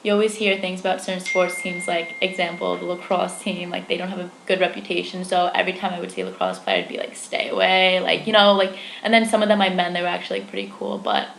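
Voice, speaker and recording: A woman's voice: lowish voice, fast talker, vocal fry, few pauses, fairly bright tone, a little hiss or hum. A young woman answering interview questions in a casual, hesitant way, full of "like" fillers. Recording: echoey room.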